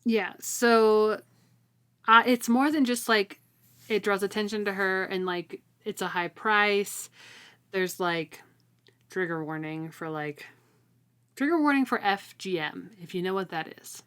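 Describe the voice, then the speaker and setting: A woman's voice: mid-range voice, measured pace, fairly bright tone, smooth. A woman co-hosting a casual book discussion, talking in a slangy way and repeating words for emphasis. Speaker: podcast co-host.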